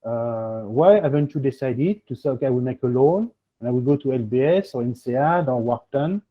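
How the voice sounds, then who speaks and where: Man, French accent, mid-pitched, rambling advice, keeps saying okay, tinny video call line. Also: expressive, normal speed. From France. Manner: rambling, peppers speech with "okay?" and "huh?". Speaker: admissions coach hosting a webinar. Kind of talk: advice in an online webinar.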